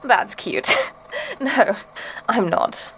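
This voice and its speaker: Actress as serene, macabre woman artist for audio drama; high pitch, chatty menace, faint noise behind. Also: expressive, drawn-out words, a bit bright, heavily acted, low-quality recording. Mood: smug. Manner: chatty, musing, blithely menacing. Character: serenely macabre female sculptor of living bodies. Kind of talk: audio drama monologue, conversational.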